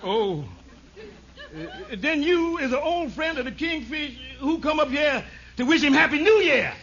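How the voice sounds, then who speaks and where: A man's voice: very high-pitched, animated, unhurried, fairly bright tone, full character voice. A man playing a brash, boastful, shady talker in a 1950s radio comedy, cocky and blustering, with slangy broken grammar. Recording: very noisy, muffled 1950s radio recording.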